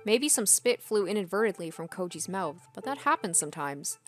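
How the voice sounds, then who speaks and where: Female game streamer, mid-pitched, reads story narration aloud and chats casually, faint music underneath. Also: very animated, a little fast. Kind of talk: reading visual novel narration aloud, with casual asides. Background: soft game music.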